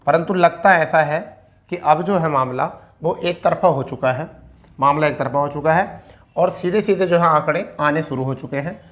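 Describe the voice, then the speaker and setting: A man's voice: on the high side, normal speed, a bit bright. A man giving an informal explainer to students as a coaching teacher, repeating key phrases for emphasis. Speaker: exam coaching teacher giving recruitment updates.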